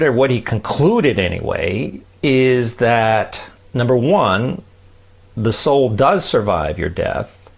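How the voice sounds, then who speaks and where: Animated man teaching with gravelly creak. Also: medium pitch. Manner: emphatic, with rhetorical check-ins like "right?" and "okay?". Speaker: podcast host explaining science and faith. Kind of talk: conversational teaching talk.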